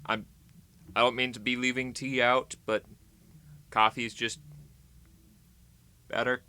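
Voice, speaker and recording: A man's voice: medium pitch, flat delivery, measured pace, pushed and bright, clean tone. An American man vlogging in casual, rambling chat to camera, playful and tongue-in-cheek with dramatic emphasis.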